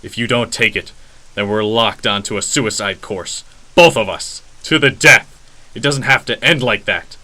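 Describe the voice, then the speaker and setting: An actor playing a grim, earnest vigilante superhero in a radio drama, speaking sternly and deliberately with intensity. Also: male voice, lowish voice, bright, forceful tone. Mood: earnest pleading.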